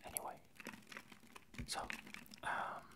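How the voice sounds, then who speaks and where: A woman giving a casual ASMR update in a whisper, speaking in halting short phrases with pauses. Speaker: ASMR video creator and host. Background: ice cubes clinking.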